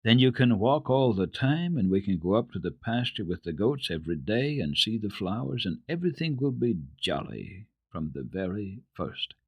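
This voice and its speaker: A man's voice: fairly low pitch, sing-song, normal speed, hardly any pauses, slightly rough, no room sound, highs cut off, heavily acted. Guy reading as a happy little mountain girl, eager and reassuring, voice bouncing up and down, kids storybook. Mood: cheerful, comforting. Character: cheerful, comforting young mountain girl. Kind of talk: dramatic children's storybook reading.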